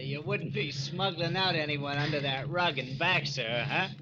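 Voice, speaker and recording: A man's voice: fairly high pitch, normal speed, lightly acted. An actor playing a genial, chatty, teasing male gate guard with a folksy manner in a 1940s radio drama. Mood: jovial, teasing. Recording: dull noisy old radio recording.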